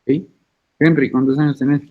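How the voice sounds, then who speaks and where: A man's voice: medium pitch, fairly even intonation, normal speed. A man, a university lecturer taking roll and teaching in an informal, teasing way over a video call. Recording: tinny phone-like call audio.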